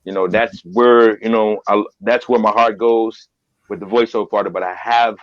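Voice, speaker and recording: A man's voice: lowish voice, fairly even intonation, normal speed, lo-fi sound. A man guesting on a podcast as a voiceover artist, talking earnestly and repeating phrases for emphasis. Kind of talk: casual interview talk.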